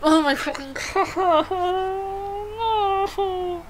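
A woman doing let's play commentary, excitable and sweary, repeating phrases rapidly as she reacts and reads game text. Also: very high voice, a little hiss or hum. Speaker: video game streamer. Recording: game music behind the voice. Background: video game music.

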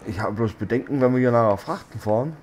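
A man's voice: mid-range voice, quick pace, darkish tone, a little hiss or hum. A man streaming an off-road driving game, chatting casually with a friend and playfully exclaiming and sing-songing as he drives. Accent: German. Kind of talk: casual gaming chat with a friend.

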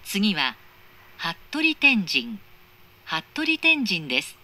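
A woman's voice: mid-range voice, huge pitch swings, very slow, bright, forceful tone. A woman reading scripted train station announcements in a formal, precisely enunciated manner. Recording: clear train noise, recorded inside carriage. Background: moving train interior noise.

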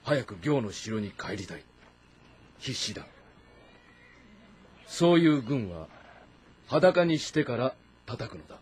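An actor playing a stern, shrewd warlord in a historical game drama, giving authoritative, terse commands. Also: male voice, medium pitch, big pitch swings, slow, pauses often, darkish tone, husky, heavily acted. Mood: stern, calculating. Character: stern, shrewd warlord commanding his army, instructing his son.

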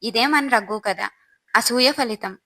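A woman's voice: a little high, slow, bright, forceful tone. A woman narrator reading a scripted moral story.

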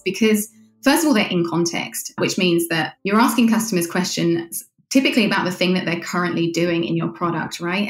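A woman's voice: lowish voice, roomy sound. A woman being interviewed, explaining a product in a conversational way.

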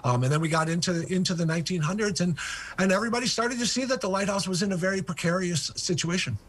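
Older male guest author, husky, eager, filler-heavy storyteller on a video call. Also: high-pitched, quick pace, a bit bright. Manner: enthusiastic, many ums and uhs. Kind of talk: remote interview storytelling.

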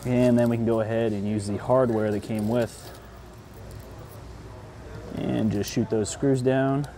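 A man's voice: medium pitch, steady tone, measured pace, background noise. A man in his thirties giving a casual how-to demonstration, talking conversationally as he works with his hands on a workshop floor. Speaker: product installation presenter. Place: large indoor workshop floor.

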